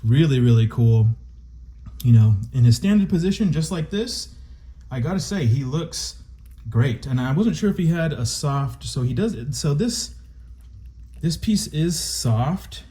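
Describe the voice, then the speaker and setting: A man recovering from a cold and a little stuffy, chatting excitedly to viewers as he unboxes and reviews a toy. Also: fairly high pitch, a little slow.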